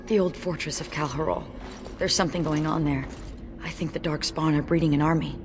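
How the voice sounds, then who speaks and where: Wry, low-voiced warrior woman, played by an actress, noisy backdrop. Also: steady tone, a little fast, natural voice. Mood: grim, urgent. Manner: wry, understated, matter-of-fact. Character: brave wry female dwarf warrior, sole survivor of her legion. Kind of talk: video game voice acting.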